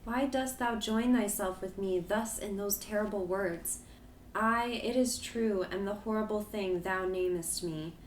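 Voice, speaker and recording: A man voicing a gentle, sorrowful young woman in an audiobook reading, pleading softly. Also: high-pitched, measured pace, roomy sound, a little hiss or hum, pitched above the performer's own voice, low-quality recording. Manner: pleading, soft and tremulous.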